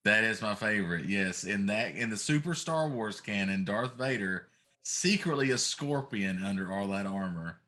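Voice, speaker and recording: A man's voice: on the low side, lively intonation, moderate pace, pushed and bright. A middle-aged man hosting a casual talk show, chatting about games in an emphatic, complaining way.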